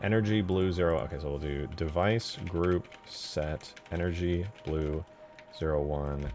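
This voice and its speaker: Low-voiced man thinking aloud. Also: a little mellow. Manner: low-key, informal, muttering to himself while working. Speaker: game modder streaming his work. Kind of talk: casual livestream commentary, thinking aloud. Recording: music behind the voice. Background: music.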